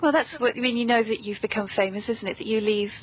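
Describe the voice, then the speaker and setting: A woman's voice: on the high side, steady tone, fast. A British woman hosting a casual interview, speaking in a chatty, playful way. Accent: British English. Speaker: podcast host, interviewer. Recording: dull lo-fi audio with clear noise.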